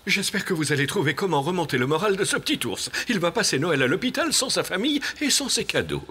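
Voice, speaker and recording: A man voicing an excitable, kindly animal doctor in a children's cartoon, with emphatic exclamations. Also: high voice, fast talker, breathy edge, heavily acted. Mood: concerned.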